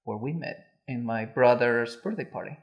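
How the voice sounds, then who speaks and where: A man's voice: mid-range voice, normal speed, lo-fi sound. A man in his forties from Peru, a podcast guest, speaking plainly and with light humor. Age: 40s. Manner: plain-spoken, good-humored, simple phrasing. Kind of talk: casual podcast interview.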